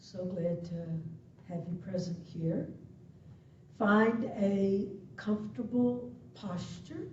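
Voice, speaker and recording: Very slow, mellow woman guiding meditation. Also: fairly even intonation. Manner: soothing, deliberate phrases with long pauses. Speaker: meditation and yoga instructor. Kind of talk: guided meditation. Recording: muffled, echoey, distant web-call audio, faint rumble.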